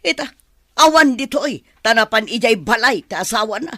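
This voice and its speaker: A woman's voice: fairly high pitch, animated, moderate pace, a bit bright, dry recording. A woman with an Ilocano accent playing a stern, scolding older mother-in-law in a radio-style drama. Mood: scornful.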